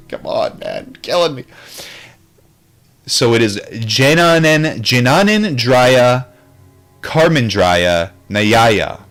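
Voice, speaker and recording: A man's voice: medium pitch, a bit bright. A man reading aloud from a book in an informal way, sometimes adding casual commentary and stumbling over difficult terms. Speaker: book reader, streamer.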